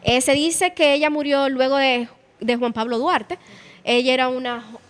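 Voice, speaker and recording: A woman's voice: high voice, fairly even intonation, normal speed, smooth, long reverb tail. A woman guiding a museum tour, explaining history and quoting dialogue. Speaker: museum guide. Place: indoor exhibition hall.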